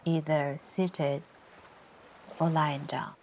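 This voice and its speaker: A woman leads a guided meditation in a low, even voice. Her delivery is very slow and gentle, with long pauses between phrases. Soft ocean surf sounds sit behind her words. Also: creaky, a little hiss or hum. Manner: soothing, gentle, unhurried instruction with long pauses. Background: ocean waves ambience.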